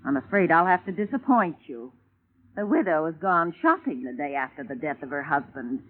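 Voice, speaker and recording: A fussy, prim old housekeeper woman, played by a man, with a high, hesitant voice full of stammers and throat-clearing. The sound is a tinny, phone-thin old radio broadcast. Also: lively intonation, moderate pace, slight background noise, close to an everyday voice. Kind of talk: 1940s radio acting.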